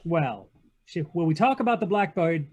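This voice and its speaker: An actor playing a wry, impatient private detective in a 1940s radio drama, terse and sardonic. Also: male voice, a little high, animated, normal speed, darkish tone, husky. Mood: dry. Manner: terse, sardonic, hard-boiled. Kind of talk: 1940s radio drama read-through with narration. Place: video call read-through.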